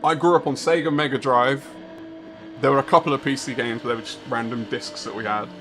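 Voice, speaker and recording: A man's voice: mid-range voice, fairly even intonation, brisk, background noise. Chatty man in his thirties. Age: 30s. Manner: chatty and rambling, laughs often, talks to chat. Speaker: racing game streamer. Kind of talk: casual live-stream chat.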